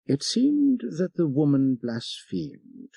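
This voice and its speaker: A man voicing a fervent French missionary priest telling his tale with emphatic, ironic pauses, as in a dramatic audiobook. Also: fairly high pitch, wildly swooping pitch, gravelly, warm tone, slightly rough, no echo, pitched above the performer's own voice, full character voice. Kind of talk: dramatic first-person storytelling in an audiobook.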